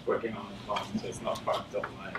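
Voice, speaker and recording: A man from Sweden presenting casually at a tech meetup, with many fillers and a relaxed, rambling delivery. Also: fairly low pitch, fast. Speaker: conference speaker. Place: lecture room. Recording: roomy, distant with audible background noise.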